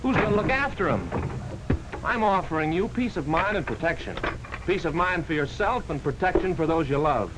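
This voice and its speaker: A man plays a small-town insurance salesman from a 1940s picture, patient and gently persuasive, with a slightly high voice. The old soundtrack carries clear background noise. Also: lively intonation, moderate pace, close to an everyday voice. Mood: earnest. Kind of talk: 1940s film acting. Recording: noisy 1940s film soundtrack.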